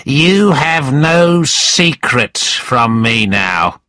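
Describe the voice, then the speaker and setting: A man voicing a scornful, sly, angry moneylender in a storybook, with a sneering, emphatic, drawn-out delivery. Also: medium pitch, expressive, pushed and bright, no echo, voice pushed up, heavily acted. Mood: accusing.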